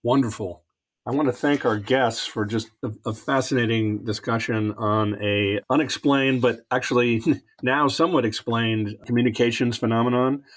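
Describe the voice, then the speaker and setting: A man's voice: medium pitch, steady tone, normal speed. Podcast host man with dry asides. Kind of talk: interview hosting.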